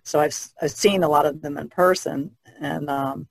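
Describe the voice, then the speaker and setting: A woman's voice: low voice, steady tone, moderate pace, vocal fry, no echo. A woman from New Jersey chatting casually as a podcast panelist. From New Jersey, United States.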